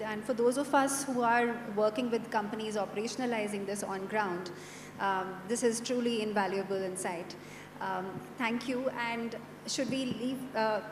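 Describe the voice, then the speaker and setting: A woman's voice: a little high, recorded from a distance. A woman moderating a conference fireside chat, polite and gracious, a little hesitant as she opens questions. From India. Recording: echoey hall with audible background noise.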